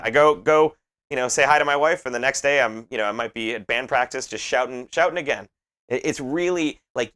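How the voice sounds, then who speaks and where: A man's voice: medium pitch, a bit bright. A man in his late thirties, a metal band's lead singer, answering interview questions earnestly and often repeating words as he thinks aloud. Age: 30s.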